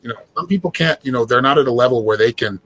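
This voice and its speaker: A man's voice: mid-range voice, racing, fairly bright tone, no room sound. A man in his forties who grew up in a small town, hosting an earnest, casual conversation about spirituality and now and then swearing to make a point. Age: 40s. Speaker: spiritual host.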